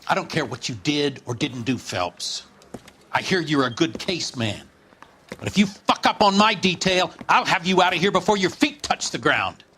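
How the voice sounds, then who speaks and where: An actor playing a curt, commanding, foul-mouthed police captain in a 1940s crime drama game. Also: male voice, a little high, lively intonation, moderate pace, pushed and bright, a little hiss or hum. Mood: stern warning. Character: hard-nosed, foul-mouthed police captain running a squad.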